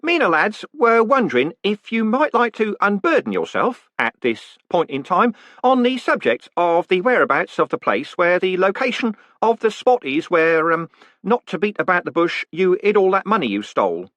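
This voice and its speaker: A man's voice: sits high, very animated, moderate pace, fairly bright tone, no echo, pitched above the performer's own voice. A man voicing a cheerful, deferential prison warden who rambles on and calls everyone sir. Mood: chatty.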